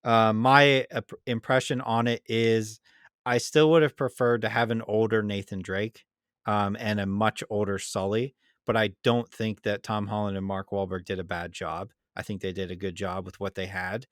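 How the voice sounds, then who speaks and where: A man's voice: lowish voice, flat delivery, normal speed, fairly bright tone, no echo, clean studio sound. A man co-hosting a podcast, giving a casual review in an easygoing, plain-spoken way. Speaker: podcast co-host.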